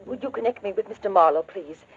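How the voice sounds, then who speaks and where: A woman's voice: medium pitch, animated, fast talker, noisy background, natural voice. A woman playing a worried, refined mother in a 1940s radio drama, speaking earnestly and hesitantly. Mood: anxious, polite. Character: worried, genteel middle-aged mother hiring a detective. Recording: dull old radio recording.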